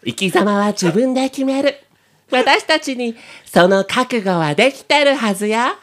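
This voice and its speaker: A man's voice: very high voice, animated, unhurried, fairly bright tone, pitched above the performer's own voice, heavily acted. A man playing an earnest, brave heroine who leads her comrades in an amateur radio drama. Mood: defiant, resolute.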